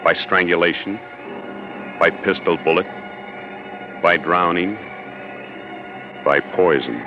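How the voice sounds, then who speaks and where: An actor playing an uneasy, suspicious hunter, brooding and deliberate, in a 1940s radio suspense drama. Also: male voice, deep voice, over music. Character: uneasy, suspicious hunter narrating his own peril. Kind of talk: 1940s radio acting, first-person narration. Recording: dull old 1940s radio recording.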